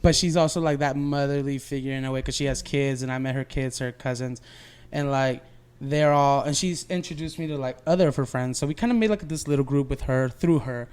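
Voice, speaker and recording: Casting: male podcast co-host, smooth clear voice, casual slangy storyteller who rambles. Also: mid-range voice, fairly quick, a little mellow. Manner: rambling, slangy, frequent "like" and "you know".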